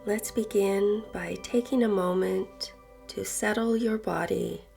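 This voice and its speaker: Woman meditation host, very slow and gentle, soft breathy voice, long pauses, faint music behind. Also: mid-range voice, steady tone. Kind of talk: guided meditation, slow and soothing narration. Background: soft background music.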